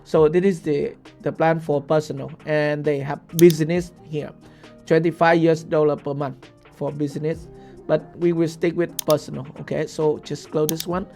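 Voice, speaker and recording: A young man whose first language is Vietnamese talks through a software tutorial step by step, often pausing to ask "okay?". His voice sits a little high with a gravelly fry. Faint music plays quietly beneath him in a small room. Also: level tone. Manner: explains step by step with frequent "okay?". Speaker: tutorial host. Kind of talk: screen-share software tutorial.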